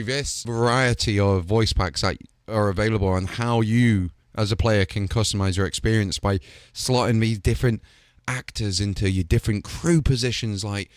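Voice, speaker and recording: A male host talks casually and with enthusiasm, leaning on fillers as he goes. His voice sits a little low. The sound is dry and close. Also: no echo. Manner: chatty and enthusiastic, with filler like "kinda". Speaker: host showcasing game voice packs.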